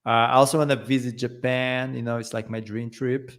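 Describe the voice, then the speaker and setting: Guest from Portugal, male, loose and chatty, quick to laugh. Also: medium pitch, level tone. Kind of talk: casual livestream chat.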